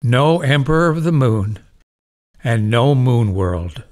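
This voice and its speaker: A man's voice: mid-range voice, expressive, takes it slowly, darkish tone. A man playing a gullible, mad old doctor with grandiose, melodramatic delivery in an audio farce. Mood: bewildered. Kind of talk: stage farce audio play.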